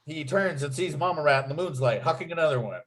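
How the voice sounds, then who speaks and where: A man's voice: a little high, lively intonation, fast, fairly bright tone. Man narrating a comedy script. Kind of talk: scripted narration, reading screenplay action and scene headings.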